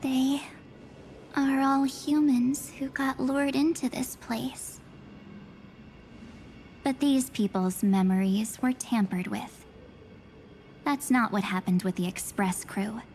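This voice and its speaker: A woman voicing an enigmatic young female memory spirit in a video game, coaxing and softly menacing, with slow, breathy delivery. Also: sits high, fairly even intonation, slight background noise. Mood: somber. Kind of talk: scripted video game dialogue.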